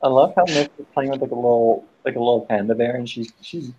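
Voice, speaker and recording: A man's voice: mid-range voice, fast, warm tone, highs cut off, a little hiss or hum. A man hosting a casual voice chat stream, teasing his friends and swearing a lot.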